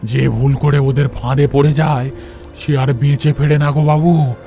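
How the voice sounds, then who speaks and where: A man's voice: medium pitch, level tone, unhurried, mellow, natural voice. A man voicing a mysterious, fatalistic rustic boatman ghost in a cartoon ghost story, speaking deferentially and repeating his phrases. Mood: haunted, sorrowful. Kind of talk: Bengali animated ghost story acting. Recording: music under the voice.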